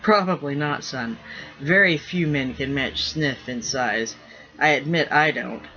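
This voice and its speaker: A man voicing a wry pirate captain and father, dry, measured and matter-of-fact. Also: mid-range voice, bright, forceful tone. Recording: dull lo-fi recording.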